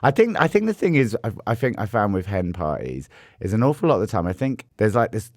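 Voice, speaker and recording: A man, a drag queen co-hosting a podcast, with a croaky, hoarse voice, chatting in giggly, playful banter. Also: fairly low pitch, sing-song, fast, no room sound, studio quality. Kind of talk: casual banter.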